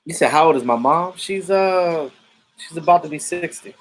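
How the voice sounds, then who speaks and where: A man in his thirties hosts a live sports stream and talks to his viewers in a loose, unscripted way. He is blunt and outspoken and swears freely, and his voice sits a little high for a man. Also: moderate pace, a little hiss or hum. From Jamaican family roots. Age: 30s. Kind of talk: casual sports chat reading viewer comments.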